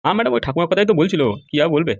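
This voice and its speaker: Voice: mid-range voice, rapid-fire, a little mellow, no echo, highs cut off, lightly acted. অভিনয়ে খুব দ্রুত কথা বলা তোষামুদে চ্যালা. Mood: tattling. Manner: deferential, eager, reassuring. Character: fawning, gossiping sidekick of a bossy madam. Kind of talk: amateur cartoon comedy dialogue.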